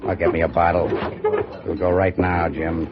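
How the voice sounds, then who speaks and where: An actor playing a sly, hard-drinking, treacherous outlaw with drawling rustic speech in an old-time radio western. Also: male voice, sits low, flat delivery, moderate pace, lightly acted. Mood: sly, needling. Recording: muffled old radio recording, background crowd noise.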